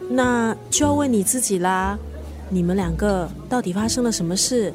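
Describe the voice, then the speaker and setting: A woman's voice: medium pitch, moderate pace, over music, close to an everyday voice. A woman acting in a radio drama as a teasing, bossy family friend who plays matchmaker. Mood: huffy, teasing.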